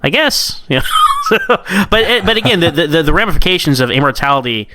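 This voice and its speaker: A man co-hosting a casual review discussion, informal and opinionated, talking in an animated way. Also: a little high, a little fast, slight background noise. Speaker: podcast co-host.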